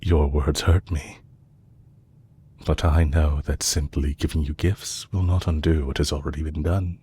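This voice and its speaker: A man playing a composed, courteous villain leader who is possessive and lovestruck, speaking formally and deliberately in an intimate romantic audio roleplay. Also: very deep voice, fairly even intonation, mellow, slight background noise. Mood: sincere, gentle. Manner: formal, deliberate, courtly phrasing with long pauses.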